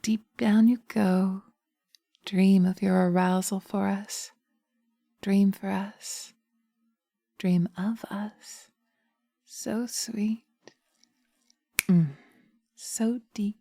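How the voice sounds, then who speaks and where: A woman playing a seductive, vengeful witch in slow hypnotic audio roleplay, breathy and often whispering, teasing and commanding, close to the mic. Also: mid-range voice, steady tone, mellow, dry recording, exaggerated voice. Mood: soothing, hypnotic. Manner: sultry, drawn-out, teasing, commanding. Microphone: close microphone, dry binaural recording.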